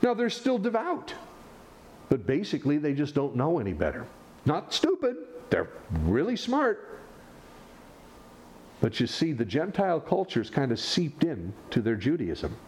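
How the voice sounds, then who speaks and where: A male pastor delivers a sermon with a slightly high voice that leaps up and down as he drives his points home. He throws rhetorical questions at his congregation. A faint hum of the room sits under him. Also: a little slow. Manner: animated preaching, rhetorical questions, sudden pitch swings.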